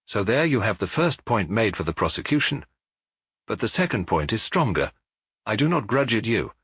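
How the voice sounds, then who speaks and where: A man's voice: medium pitch, a bit bright, husky, no room sound. A male narrator playing a self-assured sun-cult prophet in an audiobook detective story, with lofty, declamatory delivery. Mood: proud, persuasive.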